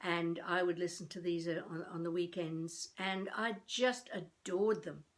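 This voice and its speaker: An older woman from Australia giving improvised instruction as a voice coach, speaking deliberately in spaced phrases with theatrical emphasis. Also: lowish voice, fairly even intonation. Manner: deliberate, with spacious pauses between phrases. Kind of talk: improvised instructional talk to camera.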